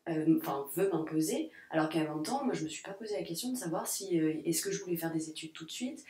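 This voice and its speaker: A woman's voice: deep voice, expressive, quick pace, dark tone. A woman in her late twenties co-hosting a casual discussion, hesitant and often restarting her sentences. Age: late 20s. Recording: roomy echo.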